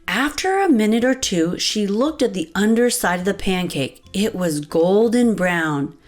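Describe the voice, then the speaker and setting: Female children's read-aloud host: expressive storytelling, unhurried delivery, highly varied pitch, faint music underneath. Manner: expressive, addressing child listeners with questions. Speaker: children's book reader and host. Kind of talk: animated read-aloud narration for young children. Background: light background music.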